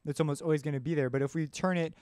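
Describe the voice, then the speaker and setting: A man hosting a casual music production tutorial, explaining step by step as he demonstrates the software. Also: on the high side, fairly even intonation, very fast.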